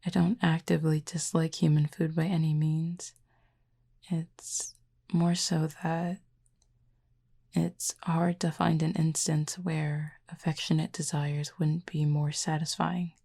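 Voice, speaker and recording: Woman doing a curious cupid for audio rp, soft mellow half whisper, barely rises or falls, kinda formal and dry. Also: deep voice, level tone, gravelly, breathy edge, heavily acted. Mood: thoughtful, curious. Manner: formal, measured, gently dry phrasing. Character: calm, curious otherworldly cupid who feeds on feelings. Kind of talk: intimate audio roleplay addressed to the listener.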